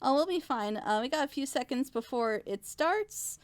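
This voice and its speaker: A woman's voice: high-pitched, lively intonation, normal speed. A woman hosting a casual, chatty online group reaction show. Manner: nervous. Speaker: animator. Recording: music playing behind the voice.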